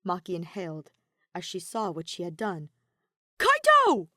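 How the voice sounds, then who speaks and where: A woman's voice: fairly low pitch, fairly even intonation, normal speed, no room sound, clean studio sound. A woman reading scripted fiction as an audiobook narrator in a dramatic style.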